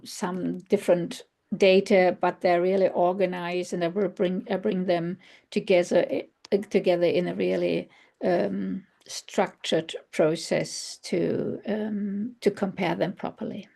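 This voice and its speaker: A woman with a German accent presents her research at an online conference. Her voice sits low, with a gravelly fry and a mellow, dark tone. She talks in a halting way and searches for words, with frequent fillers and restarts.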